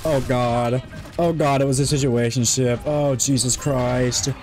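A man in his twenties streaming a game, talking in a rambling, monotone way with many restarts. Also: medium pitch, a little slow. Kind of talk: casual stream chat.

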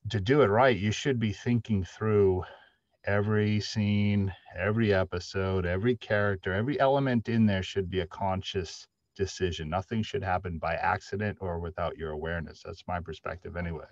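A man's voice: sits low, a little fast, no echo. A middle-aged man giving his views emphatically as a panelist in an unscripted discussion over a video call. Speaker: panelist, audio drama writer. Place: small room at home, indoors. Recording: dull, dry video-call audio.

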